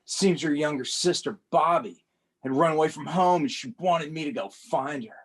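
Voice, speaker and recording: A man's voice: fairly high pitch, normal speed, lightly acted. A man reading a comic aloud as a young male rookie cop, narrating his troubles in a plain conversational first-person way. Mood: wry.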